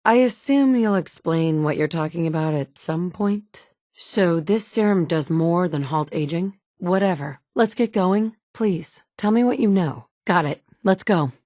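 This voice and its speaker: A woman's voice: fairly low pitch, unhurried, a little mellow, dry recording, lightly acted. A woman voicing a wry, tough survivor heroine. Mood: matter-of-fact. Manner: dry, sardonic, blunt. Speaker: player character. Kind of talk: video game voice acting. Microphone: close studio mic.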